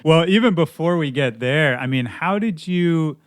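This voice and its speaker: A man's voice: on the high side, expressive, normal speed, dry recording. Male podcast host, easygoing and chatty, dry studio sound. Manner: laid-back, swears casually, laughs often. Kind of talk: casual interview chat.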